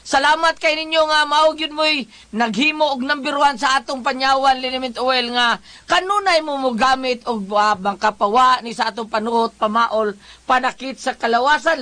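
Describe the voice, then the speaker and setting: High, bright-voiced woman radio host. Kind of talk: radio chat and live sponsor reads.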